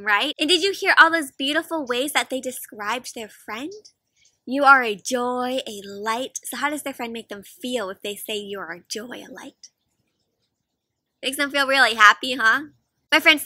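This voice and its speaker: A young adult woman doing an expressive, interactive picture-book read-aloud for children, asking lots of questions. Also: a little high, normal speed, dry recording. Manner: expressive, asks frequent questions, gasps. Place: outdoors in a fenced backyard.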